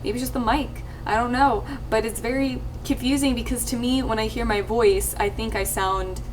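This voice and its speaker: A young woman talking casually to her viewers, rambling with lots of fillers and restarts, her voice a little husky. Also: fairly even intonation, moderate pace. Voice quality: slightly husky, low-ish voice. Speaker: YouTube let's player. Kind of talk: casual talk to camera. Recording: distant mic with faint ambient noise.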